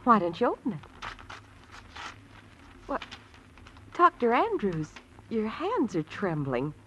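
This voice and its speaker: Young receptionist in an old radio play, bright voice, teasing and nosy, lively pitch, bit of hiss. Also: female voice, takes it slowly, dull audio, heavily acted. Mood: curious, probing. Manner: playful, teasing, inquisitive. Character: young female hospital receptionist, chatty, teasing, curious. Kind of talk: 1940s radio acting. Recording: vintage radio recording, faint noise.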